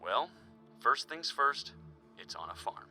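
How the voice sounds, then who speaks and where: An actor playing a firm, middle-aged police chief in an audio drama, speaking with deliberate authority. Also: male voice, mid-range voice, very animated, sharp tone, faint music behind, exaggerated voice. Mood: firm, measured. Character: firm male police chief, businesslike superior.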